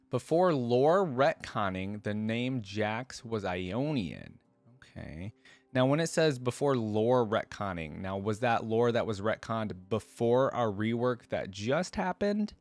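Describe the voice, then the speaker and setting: A man's voice: mid-range voice, expressive, measured pace, faint music behind. A man hosting a reaction video, reading lore aloud and thinking through it in casual commentary with rhetorical check-ins. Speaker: reaction channel host.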